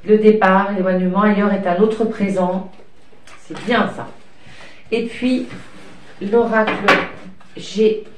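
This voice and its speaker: A woman's voice: mid-range voice, fairly even intonation, slow, long pauses, a little mellow. A woman with a cold, her voice a little stuffy and interrupted by coughs, talks through tarot cards in a meandering, hesitant way full of fillers. She sounds affectionate as she talks to her cat and child. She is recorded from some way off in a room with noticeable echo and a faint hiss. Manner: rambling, hesitant, with many fillers. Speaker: tarot card reader. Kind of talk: casual card-reading chat. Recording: echoey room, distant mic, light noise.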